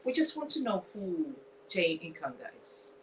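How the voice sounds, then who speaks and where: A woman's voice: lowish voice, sing-song, unhurried, a little hiss or hum, low-quality recording. A woman hosting an interview, effusive and full of "I mean" and "you know". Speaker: host.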